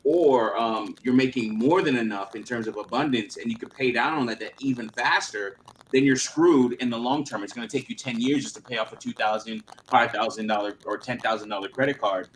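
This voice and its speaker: A man's voice: mid-range voice, brisk, rough recording. Casting: male host, thirties, loose slangy talk, faint noise behind. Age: 30s. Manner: rambling, filler-heavy, slangy. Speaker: channel host. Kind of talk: casual livestream chat.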